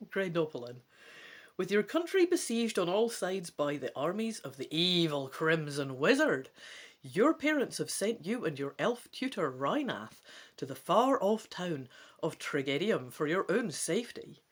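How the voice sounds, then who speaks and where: Animated man reading a gamebook. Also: high voice, measured pace. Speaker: gamebook player and narrator. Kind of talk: dramatic storybook narration.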